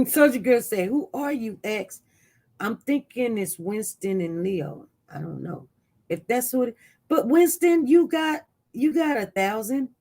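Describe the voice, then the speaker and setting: Animated live-stream news host. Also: mid-range voice, a little slow. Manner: animated, voice jumps up loud when reacting to chat; rambling with frequent restarts. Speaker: livestream news host reading viewer chat. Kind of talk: casual live-stream commentary.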